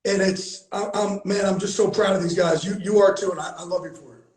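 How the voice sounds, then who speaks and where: A man's voice: high voice, steady tone, a little fast, a little mellow, roomy sound. A middle-aged man who hosts a candid conversation, talking bluntly and earnestly and swearing freely. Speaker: host, founder of a veterans and players group.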